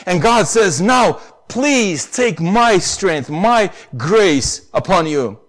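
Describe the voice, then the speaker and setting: A man's voice: sits high, animated, slow, a bit bright. A man with a Romanian accent preaching a sermon earnestly, pressing hard on key phrases. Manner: earnest, rises to emphatic stresses on key phrases.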